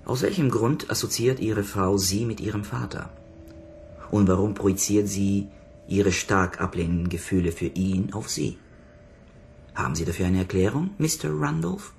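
An actor playing a calm, authoritative psychotherapist in a radio drama, speaking formally and insistently in measured phrases. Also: male voice, fairly low pitch, creaky, slight background noise. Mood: probing, controlled. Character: calm, probing male psychotherapist, authoritative and persistent. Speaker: actor in a radio crime play. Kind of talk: German radio drama acting.

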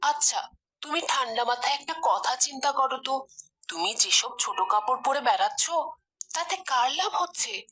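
A woman's voice: high voice, lively intonation, moderate pace, pushed and bright, slightly rough, heavily acted. A woman voicing an elderly, pious grandmother in a cartoon, earnest and preachy. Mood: admonishing. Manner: preachy, instructive. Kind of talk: animated cartoon dialogue.

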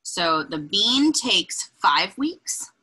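A woman reading a children's picture book aloud in an expressive, deliberate, breathy way, adding informal asides as she points things out. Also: mid-range voice, rough recording. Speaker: read-aloud reader. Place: indoors, small room with bookshelves.

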